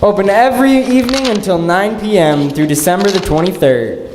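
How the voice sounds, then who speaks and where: A man announcing and narrating a live radio play in a measured, dramatic delivery. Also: high voice, lively intonation, noisy background. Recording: echoey hall, loud music behind.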